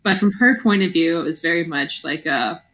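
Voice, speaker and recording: A woman's voice: low-pitched, brisk, a bit bright. A woman co-hosting a podcast, chatting casually as she discusses a book.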